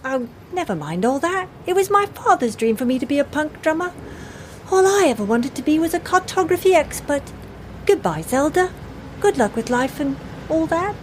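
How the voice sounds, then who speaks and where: Breezy punk drummer: high, lilting, wistful, audio drama with street-like background noise. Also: female voice, very animated, moderate pace, poor audio. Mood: breezy, resigned.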